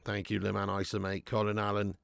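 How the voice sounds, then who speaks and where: A man hosting a live fan watch-along of a football match at home, chatting to viewers with sweary banter and bursting into loud cheering at chances. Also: on the low side, completely flat delivery, fast, creaky, no echo, clean studio sound. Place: at home in front of a camera.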